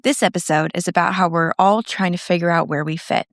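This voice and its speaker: Chatty teen girl co-host, slightly low. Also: fairly even intonation, a little fast, no room sound, studio quality. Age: teens. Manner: chatty and loud, laughs between stories. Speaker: podcast co-host. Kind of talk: casual chat.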